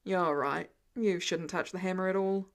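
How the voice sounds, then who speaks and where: A woman's voice: lowish voice, steady tone, normal speed, voice pushed down, natural voice. A woman voicing a haughty, protective rich teenage boy who grumbles in a clipped, disdainful way. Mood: wry scolding.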